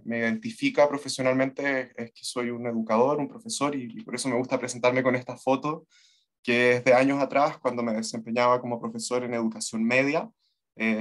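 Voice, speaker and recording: A man with a Chilean Spanish accent presents an online lecture as an educator. His voice sits at a middle pitch, and he builds his sentences in a halting, searching way. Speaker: teacher trainer giving an online talk. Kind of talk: webinar presentation to students. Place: remote online video call from Santiago.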